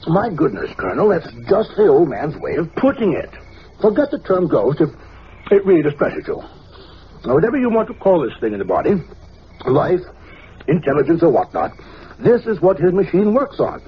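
A nervous, swooping, fussy scientist. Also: male voice, on the high side, sing-song, moderate pace. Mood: fussy, explaining. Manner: hesitant, simpering, fussy. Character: nervous, fawning male scientist, fussy and timid. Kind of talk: old-time radio drama acting. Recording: muffled, noisy vintage radio recording.

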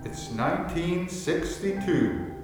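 A man's voice: fairly high pitch, lively intonation, takes it slowly. A man reading a scripted introduction as the announcer of a radio play. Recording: echoey, distant, music behind.

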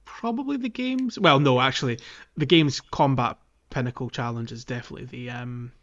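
A man speaks as a podcast co-host in loose, casual gaming chat. He sits in a middling register and often feels his way through a thought out loud, hesitating as he goes. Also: medium pitch, moderate pace, lo-fi sound.

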